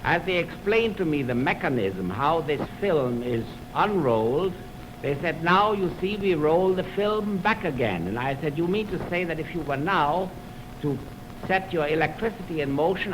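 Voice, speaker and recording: A man's voice: on the high side, animated, normal speed. A man giving a formal, anecdotal talk as host of a music program, in the style of 1920s radio. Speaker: orchestra conductor hosting a radio music program. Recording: noisy 1929 radio aircheck from optical film.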